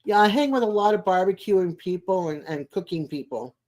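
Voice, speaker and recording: A woman in her sixties chatting casually as a live stream host, in a rambling, chatty way with frequent pauses. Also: mid-range voice, animated, a little mellow. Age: 60s. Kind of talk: casual chat with live viewers.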